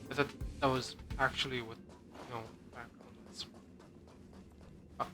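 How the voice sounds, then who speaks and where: Female streamer, very low voice, offhand casual game commentary, music in background. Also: flat delivery, unhurried. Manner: offhand, rambling.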